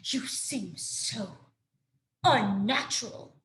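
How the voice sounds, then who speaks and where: A woman's voice: fairly high pitch, drawn-out words, fairly bright tone. A woman voicing an alarmed, suspicious owl who makes dramatic announcements in a children's story. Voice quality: screechy, emphatic. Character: alarmed, suspicious owl, hooting leader of a crowd.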